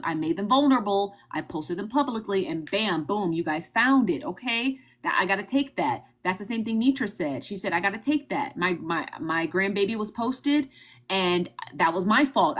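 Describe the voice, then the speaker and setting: A woman's voice: mid-range voice, fairly bright tone. A woman with a Midwestern accent hosting a live stream, talking emphatically and directly to her viewers and repeating her points. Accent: Midwestern American. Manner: emphatic, repetitive, talks straight to her viewers. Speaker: livestream host. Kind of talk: casual livestream commentary.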